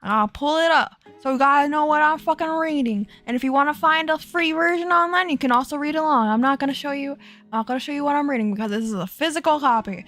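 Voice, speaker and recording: Giggly high-voiced young woman streamer. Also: fast talker, fairly bright tone, soft background music. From Florida. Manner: giggly, bubbly, self-deprecating, easily distracted. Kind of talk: casual live-stream chat with viewers.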